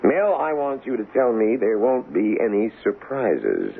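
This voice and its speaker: An actor in a radio drama playing a shrewd, folksy, cynical political kingmaker with a glib, pushy patter and a gravelly voice. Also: male voice, on the low side, wildly swooping pitch, a little slow, exaggerated voice. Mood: probing. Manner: glib, wheedling salesman's patter, wry. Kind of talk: old-time radio drama acting. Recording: muffled, noisy old radio broadcast.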